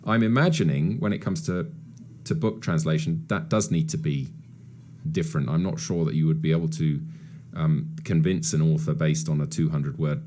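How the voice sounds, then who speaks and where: A man's voice: rumbling low, normal speed, warm tone. A man moderating a webinar Q&A, introducing audience questions and affirming answers, often repeating words. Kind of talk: hosting a Q&A, reading out audience questions.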